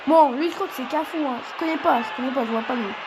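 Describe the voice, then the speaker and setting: A young man from Portugal commentating his own football quiz game, excitable and prone to shouting and swearing at opponents. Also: extremely high pitch, fast talker, background noise. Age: teens. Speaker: gaming youtuber.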